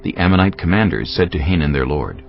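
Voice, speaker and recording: A man narrating a dramatized audio Bible with measured, solemn storytelling delivery. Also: low-pitched, lively intonation, vocal fry, muffled recording. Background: background music.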